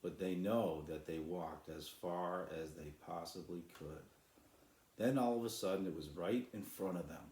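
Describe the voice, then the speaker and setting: Guy author reading his kids book, really deep voice, lots of room echo. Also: normal speed, darkish tone. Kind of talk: storybook narration.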